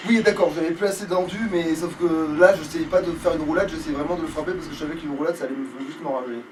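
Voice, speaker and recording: A man's voice: a little high, steady tone, fast talker. A man doing casual let's play commentary, grumbling and swearing as he plays. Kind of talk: casual gaming commentary while playing. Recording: distant mic, faint background noise.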